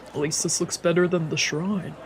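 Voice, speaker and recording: A woman's voice: fairly low pitch, fairly even intonation, normal speed, a little hiss or hum. A woman, a streamer narrating a visual novel in a let's play. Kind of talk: let's play, narrating and voicing translated lines.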